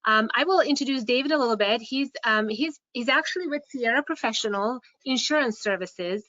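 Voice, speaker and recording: A woman's voice: fairly high pitch, brisk, bright, forceful tone, no echo. A woman with a Hungarian accent giving a webinar presentation as a care home administrator, hesitant with many fillers and restarts. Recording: dull, dry online call audio.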